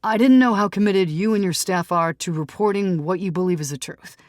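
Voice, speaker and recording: A woman's voice: fairly low pitch, brisk, a little mellow, dry recording, studio quality, lightly acted. A woman reading an audiobook, voicing a defensive, pleading male skeptic writer who argues earnestly. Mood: apologetic, earnest. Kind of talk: audiobook character dialogue.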